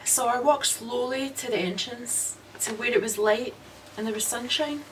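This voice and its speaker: An actress playing a haunted woman who narrates her nightmare slowly and dramatically in an old radio suspense drama. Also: on the high side, lightly acted. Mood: fearful, intense. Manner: slow, dramatic, dread-laden. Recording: distant, noisy old radio recording.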